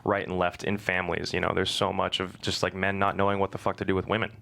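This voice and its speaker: A man on a discussion panel, earnest and halting, with frequent restarts. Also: deep voice, little pitch movement, creaky, sharp tone.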